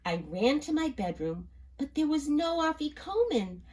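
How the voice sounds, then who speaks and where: Slow, very animated woman storyteller. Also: high-pitched. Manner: expressive, pausing to address the listeners. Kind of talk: children's story read-aloud.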